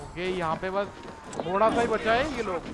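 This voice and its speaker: A man's voice: high voice, racing, heavy background noise. A man giving brash, slangy, casual commentary as he plays a game. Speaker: gameplay commentator.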